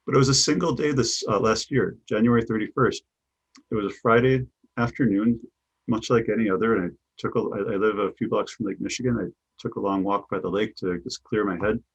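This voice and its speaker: A man's voice: on the low side, breathy edge, rough recording. A middle-aged man with a Chicago accent, answering interview questions in a thoughtful way, often repeating words as he restarts. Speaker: guest, business coach.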